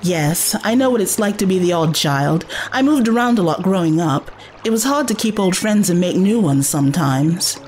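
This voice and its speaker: A woman's voice: on the low side, animated, moderate pace, darkish tone, slight background noise, lightly acted, poor audio. A woman playing a caring, bold young companion to an adventurer, earnest and coaxing, in an audio drama. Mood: gentle, earnest.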